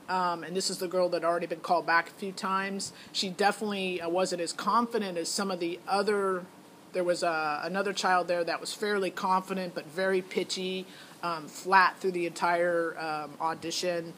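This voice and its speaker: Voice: medium pitch, steady tone, normal speed, fairly bright tone, slight background noise, low-quality recording. A middle-aged parent giving a casual, candid spoken recap that rambles and quotes past conversations. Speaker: parent reviewing a child's talent show audition.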